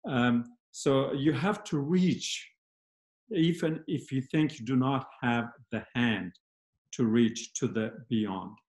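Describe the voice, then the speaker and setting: A man speaking as a panel guest in a reflective discussion, slow and measured with many pauses and fillers, on a video call from a home study. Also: medium pitch, darkish tone. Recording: roomy video call audio.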